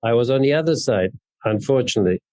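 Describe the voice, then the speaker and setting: A man's voice: medium pitch, expressive, quick pace, darkish tone, dry recording. A man in his fifties with a German accent, giving conversational answers as an interview guest. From East Berlin, Germany. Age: 50s. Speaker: interview guest, neurologist and genetics researcher.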